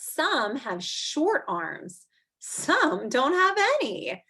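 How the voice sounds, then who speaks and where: A woman in her thirties reading aloud and explaining to young children in an animated, expressive way, like a teacher. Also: sits high, drawn-out words, slightly rough. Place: small furnished room at home, indoors.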